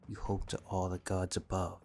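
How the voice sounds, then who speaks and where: A man's voice: low voice, monotone, normal speed, a little mellow, a little hiss or hum. A man reading a scripted second-person fantasy story as its narrator. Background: faint music.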